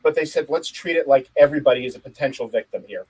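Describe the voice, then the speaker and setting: A man co-hosting a tech podcast, chatting casually and telling a story in an animated, joking way. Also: mid-range voice, fast talker, breathy edge, poor audio. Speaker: podcast co-host. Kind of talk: casual chat.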